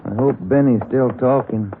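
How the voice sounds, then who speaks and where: An American actor playing a plain-spoken police detective in clipped, conversational old radio drama style. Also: male voice, medium pitch, normal speed, close to an everyday voice. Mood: businesslike, concerned. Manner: conversational, clipped short sentences. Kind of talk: 1950s American radio acting. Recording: tinny, noisy vintage radio recording.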